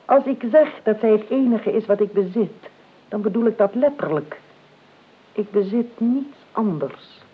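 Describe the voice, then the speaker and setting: An actress in a Dutch radio play, playing an imperious, blunt older aristocratic aunt who speaks formally and with dry restraint. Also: female voice, mid-range voice, a little slow. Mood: cool, dry. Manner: formal, crisp, deadpan. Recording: dull lo-fi radio recording.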